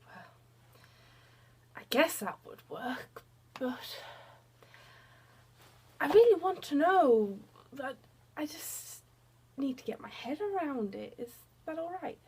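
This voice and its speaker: A woman's voice: sits high, expressive, slow, pauses often, a little mellow, heavily acted. A woman acting a curious young PhD student in an audio story, hesitant, rambling and apologetic. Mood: unsure.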